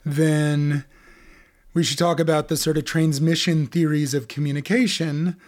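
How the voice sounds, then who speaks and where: A man's voice: on the high side, little pitch movement, a little slow, darkish tone. Thoughtful man explaining ideas. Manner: thoughtful, explanatory, with many fillers. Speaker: author being interviewed. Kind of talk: academic conversation.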